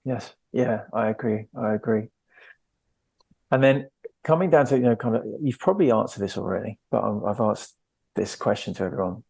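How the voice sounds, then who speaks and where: A man with a husky, gravelly voice and a fairly low pitch hosts a podcast. He asks long, rambling questions that search for the point as he goes. The sound is dry and close. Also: normal speed, darkish tone, no echo, low-quality recording. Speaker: podcast host. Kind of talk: interviewing a guest.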